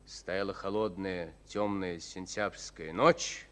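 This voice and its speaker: An actor narrating a war tale in a solemn, scripted radio drama style. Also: male voice, low voice, steady tone, takes it slowly.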